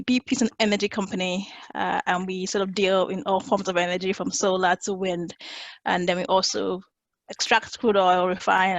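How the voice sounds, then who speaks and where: A woman's voice: medium pitch, vocal fry, no room sound, poor audio. A woman research chemical engineer introducing herself on an online panel in an upbeat, fluent way.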